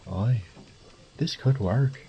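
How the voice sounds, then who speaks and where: A man playing a wry, resourceful thief in an audio drama, muttering gruffly and cursing to himself. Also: mid-range voice, extremely slow, muffled recording, background noise. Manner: gruff, sardonic, swearing under his breath. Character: wry, foul-mouthed adult male thief, resourceful under pressure.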